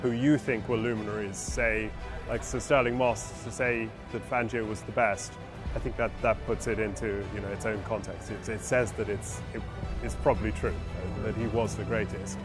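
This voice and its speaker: A man's voice: fairly low pitch, steady tone, moderate pace. A man, an auction car specialist, giving discursive explanations in an interview. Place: large tent with cars on display. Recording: music clearly behind the voice.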